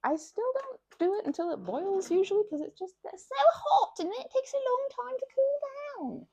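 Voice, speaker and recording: A woman's voice: extremely high pitch, sing-song, normal speed, mellow, no room sound. A woman performing a casual best-friend ASMR roleplay, chatting in a playful, scatterbrained way and rambling as she goes.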